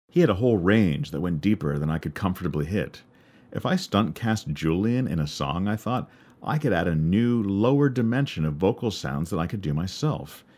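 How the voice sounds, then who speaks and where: A man with a baritone voice narrating a personal story in a wry, measured way. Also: darkish tone, breathy edge. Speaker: podcast narrator and musician.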